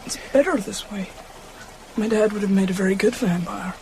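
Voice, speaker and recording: A woman's voice: mid-range voice, moderate pace, lightly acted. A woman performs a young boy in a horror radio drama, numb with grief. The voice is subdued and solemn. Noticeable rain-like noise runs behind it. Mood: numb, grieving. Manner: subdued, grave. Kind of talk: audio drama acting.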